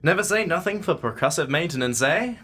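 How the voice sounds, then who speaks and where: A man acts out a flippant investigator of the supernatural in an audio drama. His delivery swings widely in pitch, arch and theatrical, with a dry wit. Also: animated, brisk, bright, forceful tone. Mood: dryly amused.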